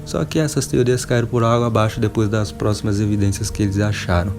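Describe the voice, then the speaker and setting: A man's voice: fairly low pitch, steady tone, fast, music in the background. A Brazilian man hosting a casual enthusiast video, talking in an emphatic, informal, wonderstruck way. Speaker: video host.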